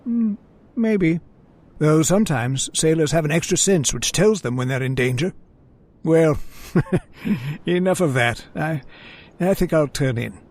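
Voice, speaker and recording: Animated, musing yachtsman played by a man. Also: on the high side, measured pace. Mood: uneasy. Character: genial, philosophical yachtsman, uneasy and superstitious hunting companion. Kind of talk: old-time radio drama acting.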